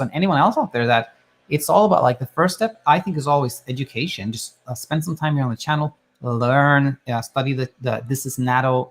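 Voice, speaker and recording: A man from Sweden who coaches people on sleep, calmly reassuring as he answers listener questions live and thinks aloud with small restarts. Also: medium pitch, creaky. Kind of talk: live Q&A advice talk.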